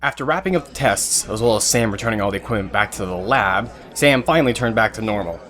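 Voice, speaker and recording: A man's voice: lowish voice, lively intonation, fast, a bit bright. A man reading scripted narration for an audio drama, telling the scenes as the narrator.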